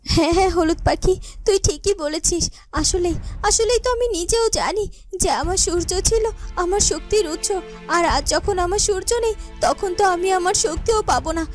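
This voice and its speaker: Woman playing a high-voiced sorrowful young heroine. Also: normal speed. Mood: troubled. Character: sorrowful young heroine, earnest, troubled, devoted wife. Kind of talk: melodramatic children's cartoon dialogue. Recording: music under the voice.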